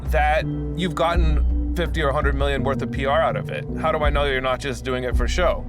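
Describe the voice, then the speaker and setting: A man's voice: mid-range voice, fairly even intonation, a little fast. A man giving casual documentary commentary, chatty and peppered with "like". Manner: chatty, lots of "like". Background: documentary score music.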